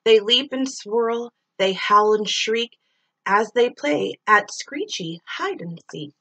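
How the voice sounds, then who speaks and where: A middle-aged woman reads a children's rhyming story aloud in a fairly high voice. She takes it slowly, stretching words and playing up the sound effects as a teacher does for young pupils. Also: expressive, fairly bright tone. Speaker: school teacher reading to pupils. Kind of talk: expressive read-aloud of a rhyming children's picture book.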